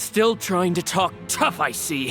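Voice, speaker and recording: Sneering, high-pitched bitter rival. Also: male voice, fairly bright tone, full character voice. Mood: taunting. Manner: theatrical, sneering, condescending. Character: bitter, jaded, scornful male fashion designer rival. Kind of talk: video game voice acting.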